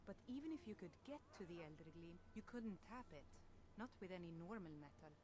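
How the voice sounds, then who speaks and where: An actress playing a devout temple priestess and healer in a fantasy video game, measured, earnest and gently grave. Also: female voice, on the low side, lively intonation, natural voice. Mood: earnest, reverent.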